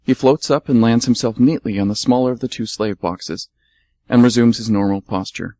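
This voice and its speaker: A man narrating a scripted radio play in a calm, measured way. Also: medium pitch, no echo.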